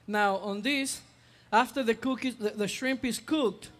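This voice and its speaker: Brief: high-pitched man, chef demoing on stage, chatty and halting, long hall reverb. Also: fairly bright tone. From Ecuador. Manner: chatty, hesitant with many uh fillers. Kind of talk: live cooking demonstration.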